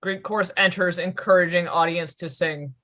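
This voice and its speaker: A woman's voice: lowish voice, steady tone, moderate pace, sharp tone. A woman reading out stage directions at a table read of a comic musical.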